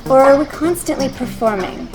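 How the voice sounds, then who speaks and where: Higher-voiced woman narrator over music. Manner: measured, posing reflective questions. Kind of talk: scripted documentary narration.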